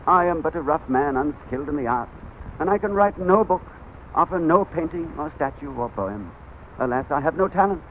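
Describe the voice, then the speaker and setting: A man's voice: a little high, expressive, normal speed, narrow, tinny audio, slight background noise, lightly acted. A man putting on the voice of a humble, simple male juggler in a 1940s radio play, earnest and pleading. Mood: sorrowful.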